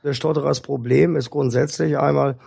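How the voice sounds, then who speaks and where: A man speaking as a guest speech therapist, explaining things in a calm, instructive and measured way. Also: a little high, vocal fry, husky, no room sound, studio quality. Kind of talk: explanatory interview talk.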